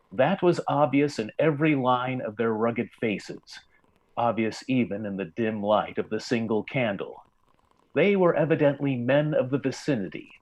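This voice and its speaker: A man reads a story as the narrator of an old-time radio drama. His voice sits at a middle pitch. He is deliberate and measured, setting phrases apart with dramatic pauses. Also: poor audio.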